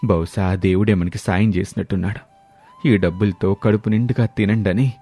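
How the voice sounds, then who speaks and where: A man with a low, mellow voice plays a hardworking husband in a storybook drama. He talks earnestly and gently, and soft music runs underneath. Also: steady tone, moderate pace, breathy edge. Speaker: voice actor playing the husband.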